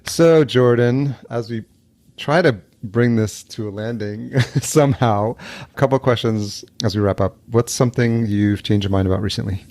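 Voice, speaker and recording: A man's voice: medium pitch, moderate pace, darkish tone. A man born in El Salvador, hosting a podcast interview in a loose, rambling way and laughing often. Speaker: podcast host. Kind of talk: casual interview chat. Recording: remote call audio.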